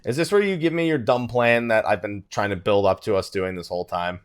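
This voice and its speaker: A man's voice: on the low side, brisk, clean tone. A man hosting a let's play with casual gaming commentary, thinking aloud and muttering asides to himself.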